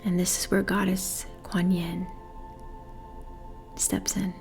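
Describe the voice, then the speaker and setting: A woman leading a guided meditation in a soothing, measured manner, with breathy phrase endings and pauses between phrases. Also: on the low side, level tone, a little mellow, faint music behind.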